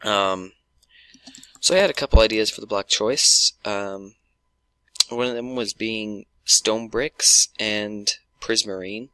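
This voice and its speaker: A man with a sore throat and blocked nose talks over his game, stopping and restarting his sentences as he goes. His voice sits a little low yet sounds bright and pushed forward. Keyboard and mouse clicks patter quietly behind him. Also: clear, resonant tone, dry recording. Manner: halting, many ums and restarts. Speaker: gaming YouTuber. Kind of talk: casual gameplay commentary.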